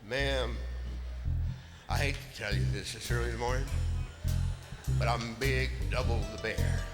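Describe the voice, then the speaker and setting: A man voicing a huge, menacing bear bully, boasting slowly and deliberately in a theatrical storytelling style. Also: natural voice. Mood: mock-polite menace. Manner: slow, deliberate, booming boasts. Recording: long hall reverb, loud music behind.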